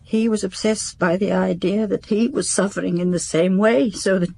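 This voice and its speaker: An actress playing a reserved, sombre woman in a radio drama, speaking with restrained, deliberate delivery. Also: medium pitch, fairly even intonation, vocal fry, dark tone, slight background noise, lightly acted. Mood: subdued, sombre. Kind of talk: British radio drama acting.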